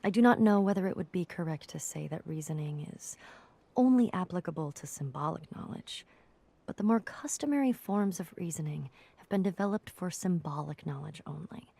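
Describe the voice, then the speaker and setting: A woman reading a philosophical essay aloud in a measured way. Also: on the low side, animated, vocal fry, dark tone, husky, no echo. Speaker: reader.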